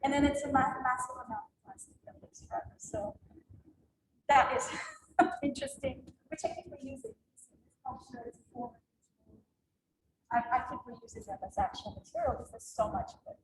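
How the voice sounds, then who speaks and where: A woman's voice: sits high, huge pitch swings, a little fast, long pauses. A woman whose first language is Russian, talking as an exhibiting artist about her installation in a hesitant, reflective way with frequent small laughs. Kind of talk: gallery artist talk. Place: gallery exhibition space. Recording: echoey gallery with faint room noise.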